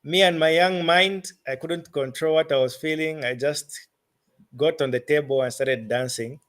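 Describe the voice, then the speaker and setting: A man with a Zambian accent answering interview questions as a musician, phrasing his thoughts deliberately and haltingly. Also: a little high, fairly bright tone.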